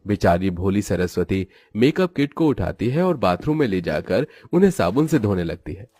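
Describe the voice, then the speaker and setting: A man's voice: lowish voice, very animated, normal speed, dark tone, no echo, studio quality. A man narrating a scripted moral story as its storyteller.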